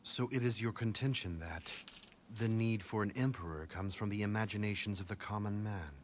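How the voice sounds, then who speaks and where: An actor playing a cold, arrogant male strategist in a video game cutscene, speaking in a haughty, measured way. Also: lowish voice, slight background noise, close to an everyday voice. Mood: cold disdain. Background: game music score.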